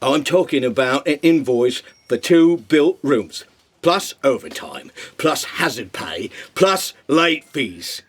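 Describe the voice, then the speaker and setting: An actor playing a thuggish bloodsucker creature who collects debts, talking in slangy, menacing tough talk in a comic audio drama. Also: male voice, medium pitch, expressive, slow pace, husky. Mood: insistent haggling.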